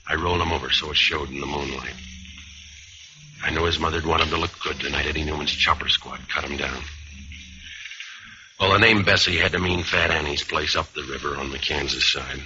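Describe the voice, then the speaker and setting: An actor playing a world-weary American private eye, laconic and dry, in first-person radio noir. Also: male voice, a bass voice. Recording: muffled, noisy old radio recording.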